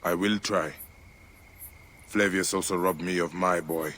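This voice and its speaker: Game lead: brooding male avenger, low gravelly tone with hard edge, grave intensity, score behind. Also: fairly even intonation, measured pace, fairly bright tone, a little hiss or hum. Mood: resolute. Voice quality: gravelly, husky. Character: brooding, vengeful Egyptian warrior lawman, bereaved father. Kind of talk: dramatic video game acting.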